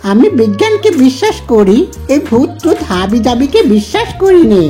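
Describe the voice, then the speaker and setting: A woman's voice: fairly high pitch, very animated, normal speed, mellow, faint music behind. Woman as a swooping, scoffing cartoon sceptic. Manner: scornful, impatient. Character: blustering, scoffing sceptic who snaps at companions. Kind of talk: Bengali cartoon voice acting.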